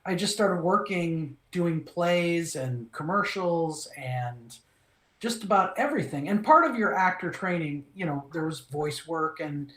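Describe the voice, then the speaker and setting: A middle-aged man from Minnesota recounts his acting career in a relaxed, storytelling way. He restarts his phrases often and gets animated when acting out what others said. The room around him is echoey and bounces his voice back. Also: a little high, measured pace. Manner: animated, restarts phrases, acts out quoted lines. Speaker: voice actor, interview guest.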